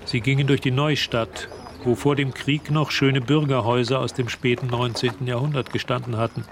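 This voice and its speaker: An actor narrating a literary radio drama as a composed storyteller, reading scripted prose. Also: male voice, mid-range voice, little pitch movement, a little fast, a little hiss or hum, natural voice.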